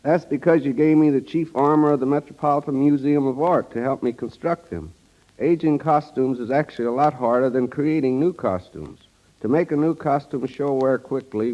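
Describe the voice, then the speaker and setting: A man's voice: medium pitch, muffled recording. A man giving a scripted, formal guest interview on an old 1930s radio broadcast, as if reading prepared lines. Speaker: studio wardrobe director, radio guest.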